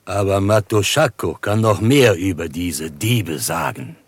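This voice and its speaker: A man's voice: sits low, lively intonation, unhurried, husky, no room sound, exaggerated voice. A male actor playing a dignified chief in a German radio drama, speaking in a solemn, ceremonious, declamatory storyteller's manner. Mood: solemn, recounting. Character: Matoshako, dignified Osage chief telling of past deceit.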